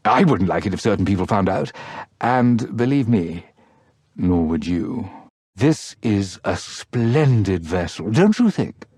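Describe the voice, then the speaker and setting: An actor playing a sly, ingratiating rogue trader in a video game, speaking in a florid, insinuating, mock-polite way. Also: male voice, medium pitch, darkish tone, dry recording. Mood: conspiratorial. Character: smooth-talking, sly, ingratiating male rogue trader and gossip. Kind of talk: scripted video game character dialogue.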